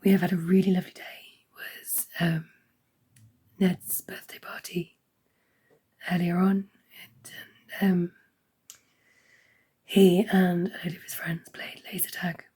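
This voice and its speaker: Hoarse, croaky woman half-whispering. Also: lowish voice, flat delivery, measured pace, pauses often, warm tone. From United Kingdom. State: hoarse, losing her voice. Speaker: crochet vlogger. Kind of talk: casual daily update.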